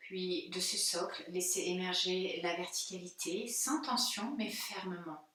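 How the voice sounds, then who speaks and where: A woman, a yoga teacher giving calm, unhurried and soothing guided relaxation instructions. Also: fairly low pitch. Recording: very echoey room, distant microphone.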